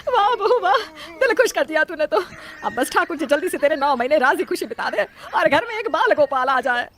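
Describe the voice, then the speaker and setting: A woman plays an elderly mother-in-law from a cartoon family tale, high-voiced and quick. She nags and scolds stubbornly, pressing her point with sharp questions, over noticeable background noise. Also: animated. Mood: delighted, hopeful. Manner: scolding, insistent, rhetorical questions.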